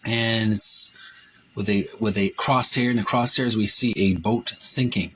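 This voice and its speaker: A man hosting a video channel, narrating a show-and-tell of a book, reading copy aloud and pausing between short phrases. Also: fairly low pitch, steady tone, a bit bright, slight background noise, poor audio.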